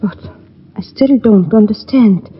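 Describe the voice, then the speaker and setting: An actress playing a puzzled, concerned sister with a husky voice and formal, questioning manner in a 1940s radio drama. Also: female voice, medium pitch, unhurried. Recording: dull old radio recording.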